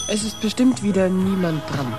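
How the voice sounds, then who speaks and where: An actress playing a young, quietly brave niece in a radio drama, speaking simply and earnestly. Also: female voice, medium pitch, expressive, moderate pace, over music, natural voice. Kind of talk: German radio drama acting.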